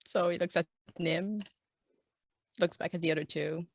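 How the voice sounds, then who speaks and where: A woman's voice: fairly low pitch, steady tone, moderate pace, gravelly. A woman improvising casually as a player in a tabletop game.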